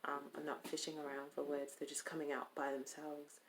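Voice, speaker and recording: A woman from California vlogging casually while speaking in a deliberately put-on accent, rambling and hesitant with many pauses. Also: sits low, monotone, noisy background. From Stockton, California. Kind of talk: casual video chat.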